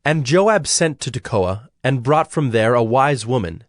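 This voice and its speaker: A man narrating scripture from a script in a measured, solemn storytelling manner. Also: medium pitch, animated, no room sound, clean studio sound.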